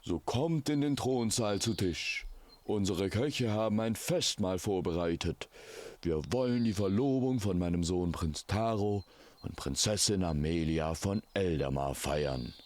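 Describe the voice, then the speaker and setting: A man's voice: deep voice, animated, unhurried, darkish tone, dry recording. A man voicing a haughty, stern king in a fantasy audio story, with a formal, pompous manner. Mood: ceremonious. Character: haughty royal king, indignant and stern father.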